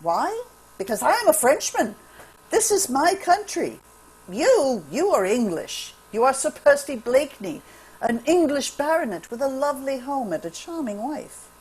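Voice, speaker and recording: A woman's voice: sits high, sing-song, a little slow, a little mellow. A woman reading the part of an anxious, loyal Frenchman in a radio drama. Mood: worried, earnest. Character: anxious, loyal young Frenchman, friend of the hero. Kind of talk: table read of a radio drama. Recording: faint noisy home virtual-call recording.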